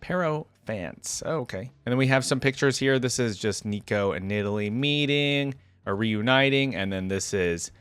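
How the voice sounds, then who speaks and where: A man's voice: mid-range voice, moderate pace, few pauses, a bit bright, clean tone, no echo, faint music behind. A man hosting a reaction video, reading lore aloud and adding casual commentary.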